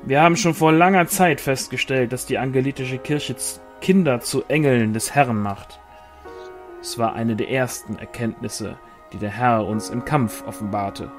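A man's voice: medium pitch, normal speed, soft background music, close to an everyday voice. A man voicing a zealous leader of a fanatical angel order, solemn and preaching, in an audiobook with a German accent. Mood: solemn conviction.

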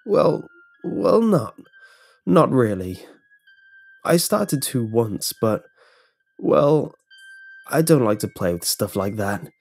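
A man's voice: medium pitch, sing-song, slow pace, creaky, pauses often, a little mellow, exaggerated voice. A man voicing an uneasy, hesitant male security guard in a horror story. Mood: nervous, defensive. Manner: hesitant, stammering when pressed.

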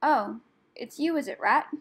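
Woman playing a hoarse, mild male dragon. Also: sits high, a little slow. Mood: sleepy. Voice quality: rather hoarse. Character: mild, patient male dragon, drowsy and calm. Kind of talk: audiobook character voice.

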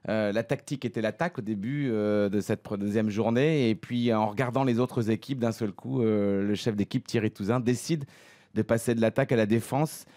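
Low, mellow middle-aged man, halting delivery. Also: no echo. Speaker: equestrian commentator. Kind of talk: animated studio recap of sporting events. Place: radio studio. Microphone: studio microphone on a desk arm.

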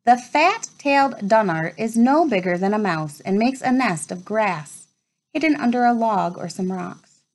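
A woman in her thirties reading a children's nature book aloud at home, with measured storytime phrasing. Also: medium pitch, lively intonation. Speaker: read-aloud presenter. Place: small furnished room at home, indoors.

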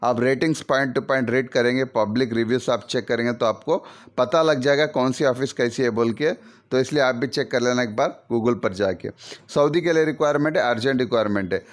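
A man's voice: mid-range voice, fairly quick. Chatty man advising on job adverts. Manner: chatty, repetitive, advisory, with many fillers like "okay". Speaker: YouTube job-vacancy channel host. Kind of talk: casual voice-over talking through job adverts. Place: voice-over over scrolling job advert images.

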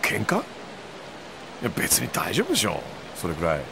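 Easygoing boss, hugely animated. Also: male voice, deep voice, normal speed, creaky, noisy background, heavily acted. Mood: amused, casual. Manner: casual, loose, expressive. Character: easygoing, laid-back male company boss in his thirties. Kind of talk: video game voice acting.

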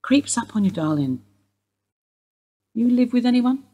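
An actress playing a sharp-tongued, bitter woman in her sixties, wry and sardonic in a radio play. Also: fairly high pitch, animated, a little slow, breathy edge.

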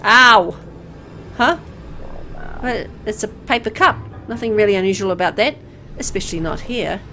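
A woman narrates a story in the first person, reading with drama. Her pitch is average, and there is noticeable noise in the recording. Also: lively intonation, moderate pace, sharp tone. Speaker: visual novel reader.

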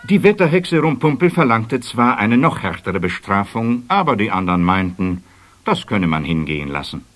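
A man narrating a children's radio play in expressive, scripted storybook style. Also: on the low side, moderate pace.

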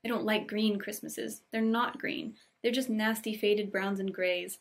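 Woman as young girl, storybook character, romantic, excitable, exclamatory, mid-range pitch. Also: unhurried, natural voice. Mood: delighted. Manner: effusive, exclamatory, earnest. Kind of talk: storybook read-aloud.

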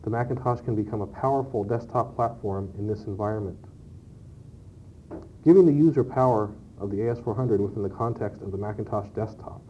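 A man's voice: fairly low pitch, fairly quick, warm tone. A man giving scripted product answers as an interview guest on an old cassette. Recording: dull, noisy early-1990s cassette tape.

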